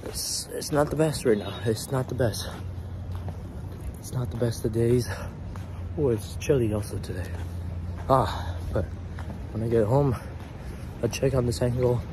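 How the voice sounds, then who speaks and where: A young man with a hoarse, sore voice, talking to a phone held at arm's length as he limps outdoors at night, groaning now and then in pain. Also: mid-range voice, a little slow, pauses often. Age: young adult. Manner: groaning, talking while limping. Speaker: vlogger. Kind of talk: casual walking vlog. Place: outdoors at night on a city campus. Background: street traffic.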